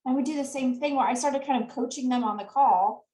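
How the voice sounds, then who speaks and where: A woman with a fairly high voice speaks as a sales coach in an interview. She explains earnestly and laughs easily. Her voice sounds roomy, with an echo around it. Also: brisk, dark tone. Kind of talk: interview answers. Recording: echoey room.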